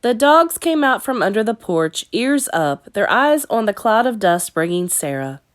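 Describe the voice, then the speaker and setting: A woman's voice: on the high side, sing-song, normal speed. Woman reading a children's story aloud. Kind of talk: expressive children's read-aloud narration.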